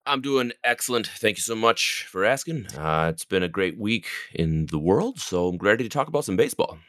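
A man's voice: on the low side, animated, brisk, a bit bright, dry recording, clean studio sound. A man joining a casual podcast as co-host, talking in a wry, upbeat way.

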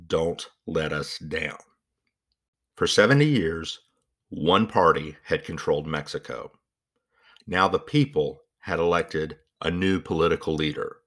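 Deep-voiced man telling a story slowly. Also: a bit bright. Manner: measured storytelling with deliberate pauses. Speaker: podcast host narrating an opening story. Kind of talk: scripted narration.

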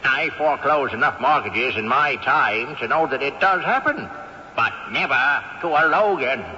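A man's voice: medium pitch, expressive, normal speed, pushed and bright. A man playing a crusty, wealthy old male ghost in a radio drama, speaking in a haughty drawl with chuckling asides. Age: older. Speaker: ghost character. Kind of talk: 1970s radio drama acting. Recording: dull old radio broadcast, faint hiss, echo.

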